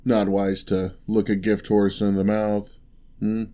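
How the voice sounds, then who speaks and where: Man voicing a slow, mellow, deep gentle giant. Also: little pitch movement, close to an everyday voice. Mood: gentle, wry. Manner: unhurried, soft-spoken, old-fashioned courteous phrasing. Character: huge gentle male giant, courteous, wry, teasing. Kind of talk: ASMR roleplay.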